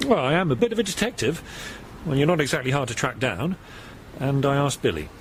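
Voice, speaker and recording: A man acting a breezy British amateur detective in a radio comedy. His delivery is highly animated and flippant, full of teasing sarcasm, with faint ambience behind it. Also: brisk, a little hiss or hum, lightly acted.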